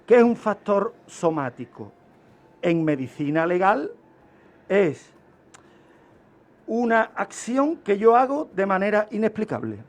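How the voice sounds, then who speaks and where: Slow, higher-pitched man explaining on radio. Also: sing-song. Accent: Spain. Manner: didactic, emphatic repetition. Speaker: guest criminologist explaining. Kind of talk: informal radio lecture.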